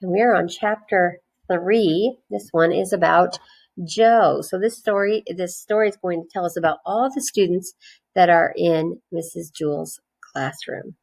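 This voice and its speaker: A woman reading a children's storybook aloud in an expressive way, phrasing it clearly for young listeners. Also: on the low side, measured pace.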